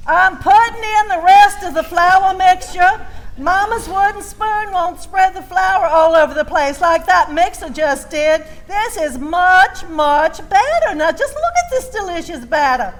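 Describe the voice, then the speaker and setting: A woman with a Southern US accent telling a comic story as a baking show host, in a folksy, theatrical, flustered manner. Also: high voice.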